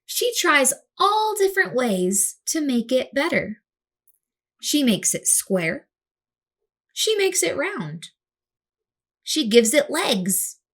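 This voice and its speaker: A woman reads a picture book for children in a highish, very lively voice. She is dramatic and expressive, acting out words as she goes. Her delivery is slow and deliberate, made for young listeners. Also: breathy edge. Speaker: children's storyteller.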